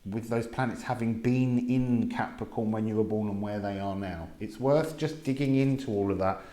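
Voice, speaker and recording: A man giving a casual spoken card reading, musing slowly with many pauses. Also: fairly low pitch, warm tone. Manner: slow, musing, drawn-out delivery with many pauses. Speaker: tarot and astrology reader. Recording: echoey room with faint noise.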